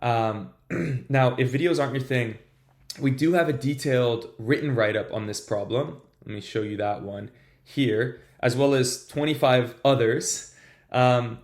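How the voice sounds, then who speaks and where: A man's voice: mid-range voice, a little mellow. A man hosting a tutorial, explaining system design at a whiteboard in an upbeat, casual way, his voice a little congested from a cold. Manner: upbeat, casual, addresses viewers as "you guys". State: has a cold. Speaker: tutorial host.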